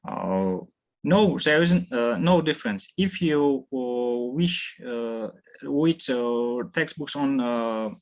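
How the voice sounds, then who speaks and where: A young man from Tajikistan speaks English with a Tajik accent. He talks slowly and haltingly, pausing on fillers and restarting his sentences. His pitch sits at an ordinary middle level for a man. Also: expressive, vocal fry. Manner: halting, many fillers and restarts, searching for words. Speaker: student presenter. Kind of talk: conference Q&A answer.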